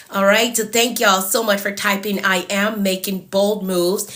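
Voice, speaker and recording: A woman's voice: mid-range voice, fairly bright tone. A woman with a stuffy, fading voice hosts a live stream as a productivity coach, greeting viewers by name and repeating her encouragements. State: congested and losing her voice. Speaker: productivity coach hosting a livestream.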